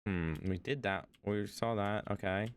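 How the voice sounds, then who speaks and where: A young man chats through a reaction stream, rambling and hesitant. His voice sits a little low, smooth and clear, and he fills every pause with likes and ums. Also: fairly even intonation. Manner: rambling, hesitant, with many fillers. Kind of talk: casual reaction commentary.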